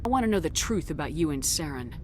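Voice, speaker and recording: A woman's voice: low-pitched, sing-song, fast talker, slight background noise. A woman voicing a tough, determined military commander in a video game, direct and firm. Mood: probing. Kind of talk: dramatic video game dialogue.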